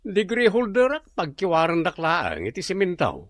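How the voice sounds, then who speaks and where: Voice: mid-range voice, huge pitch swings, moderate pace, no echo, studio quality. A performer playing a lazy, defensive unemployed man in a radio drama, with a sulky way of talking. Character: lazy unemployed man who watches TV all day.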